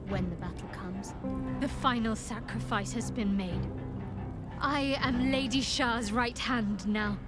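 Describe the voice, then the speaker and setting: An actress playing a proud, guarded young priestess of darkness in a video game, haughty and measured with dramatic pauses. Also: female voice, fairly high pitch.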